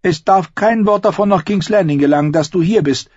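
A man voicing an imperious, anxious noble lady who talks haughtily and briskly. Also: darkish tone, no echo, muffled recording. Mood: haughty. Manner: haughty, brisk, high-strung.

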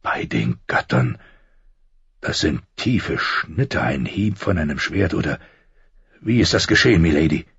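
A man's voice: a bass voice, very animated, a little slow, fairly bright tone, slightly rough, exaggerated voice. A man voicing a stern, grave noble lord with a questioning manner in an audiobook. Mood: concerned. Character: stern noble lord, bewildered husband, quick to anger. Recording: dull, roomy audiobook recording.

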